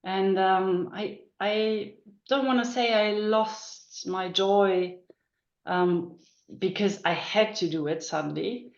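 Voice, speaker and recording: A woman's voice: medium pitch, takes it slowly. A middle-aged woman from northern Germany with a German accent, a guest in a casual interview, talking thoughtfully with many ums and restarts. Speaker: guest, business coach. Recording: echoey dull-sounding room.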